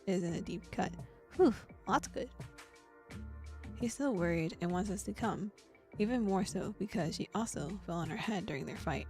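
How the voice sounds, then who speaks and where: Female streamer, gravelly timbre, reads game text aloud with lively reactions; faint music bed. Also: mid-range voice. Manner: animated reactions, gasps and laughs between read passages. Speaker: streamer reading a visual novel aloud. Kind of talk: game playthrough, reading text plus reactions.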